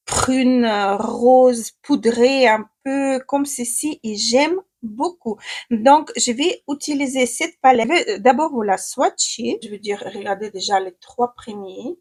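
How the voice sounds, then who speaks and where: A young woman with a Ukrainian accent talks her way through a makeup tutorial, often pausing with fillers and restarting words. Her voice sits fairly high and sounds slightly husky and breathy. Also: a little mellow. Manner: hesitant, many fillers and restarts while applying makeup. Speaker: beauty vlogger.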